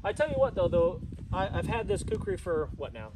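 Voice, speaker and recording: A man's voice: high-pitched, big pitch swings, very noisy. Easygoing young man, distant outdoor mic. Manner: easygoing, agreeable, lots of quick affirmations. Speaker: channel host. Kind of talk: casual outdoor chat. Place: wooded yard outdoors.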